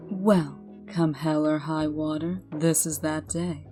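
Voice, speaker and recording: A woman's voice: deep voice, steady tone, slow, dark tone, soft background music. A woman playing a proud, impatient woman in charge, stern and clipped, in a comic dub. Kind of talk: comic dub character acting.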